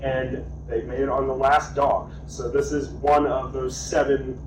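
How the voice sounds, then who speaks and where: A man's voice: mid-range voice, level tone, unhurried. A man giving a casual, self-deprecating report at a school board meeting. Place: meeting room. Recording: echoey room, far mic, noisy.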